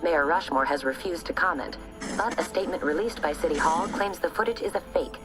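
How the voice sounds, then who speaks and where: A serious female news anchor in a game, voiced by an actress. She delivers formal, measured copy in a lowish voice over a clearly audible music bed. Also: natural voice. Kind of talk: news reading in a video game.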